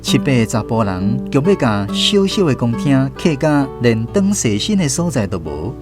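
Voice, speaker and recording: A man with a Taiwanese accent narrates a radio drama with a very lively, rising and falling delivery. His voice sits at a middle pitch, over clear plucked guitar music. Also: normal speed. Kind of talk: scripted storytelling narration.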